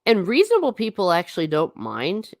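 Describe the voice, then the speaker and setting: A woman's voice: medium pitch, huge pitch swings, fairly quick, no room sound, clean studio sound. A woman hosting a casual livestream, reading viewer comments and answering them in a chatty, blunt way. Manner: chatty and blunt, with frequent asides and restarts. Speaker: livestream host.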